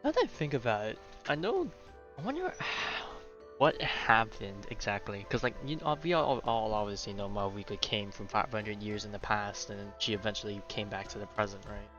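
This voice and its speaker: A male streamer chats casually over a game in a fairly low voice. He rambles and muses aloud. The mic sounds a little dull, and game music plays clearly behind him. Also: expressive, moderate pace. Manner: rambling, musing. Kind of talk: casual live gameplay commentary. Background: video game music.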